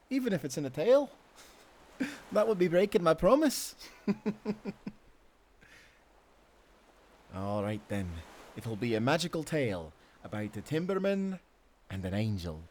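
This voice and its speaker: An actor playing a devoted, playful sailor husband with a Scottish accent, speaking tenderly in old-fashioned seafaring language for a romantic audio roleplay. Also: male voice, sits high, exaggerated voice. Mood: teasing, fond. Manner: tender, teasing, given to soft chuckles.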